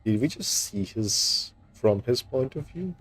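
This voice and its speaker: A man's voice: medium pitch, expressive, a little slow, fairly bright tone. A man doing casual, off-the-cuff commentary while streaming a video game. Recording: game music faintly behind.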